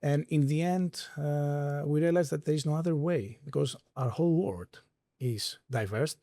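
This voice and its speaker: A man's voice: on the high side, moderate pace, warm tone, no room sound, studio quality. A man, a claims manager speaking hesitantly with many fillers in a podcast conversation. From Greece.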